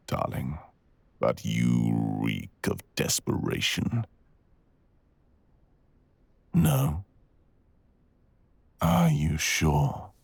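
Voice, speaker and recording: A man playing a cruel, seductive dragon villain in intimate ASMR roleplay, with a gravelly, husky growl and a slow, teasing drawl. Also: very deep voice, dry recording, heavily acted. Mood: menacing, taunting.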